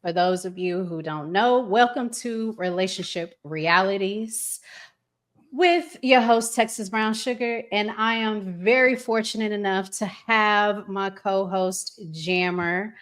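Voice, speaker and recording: A woman's voice: mid-range voice, takes it slowly, a bit bright. A woman hosting a casual talk show, speaking in an effusive, emphatic way. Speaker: podcast host.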